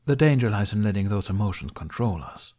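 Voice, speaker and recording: A man voicing a kindly older male mentor in an audiobook, with a dry, teasing and measured way of talking. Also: fairly low pitch, lively intonation, dark tone. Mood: earnest advice. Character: older male Jedi master, wry, kindly, bearded mentor. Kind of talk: audiobook character dialogue.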